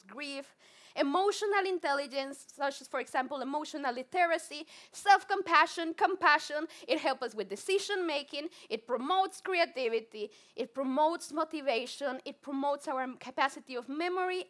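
Colombian woman psychologist, high and bright voice, earnest talk, restarts words. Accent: Colombian Spanish. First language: Spanish. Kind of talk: public talk to a live audience.

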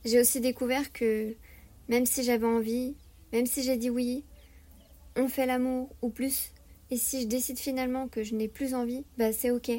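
Woman, highish mellow voice, calm reflective testimony, flat delivery. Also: normal speed. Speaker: interviewee. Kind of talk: personal testimony.

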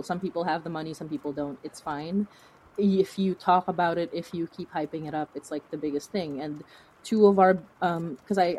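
Effusive low-voiced woman with a husky edge. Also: warm tone, rough recording. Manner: effusive, emphatic, gushing. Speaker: podcast creator, interview guest. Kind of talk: casual conversational interview chat.

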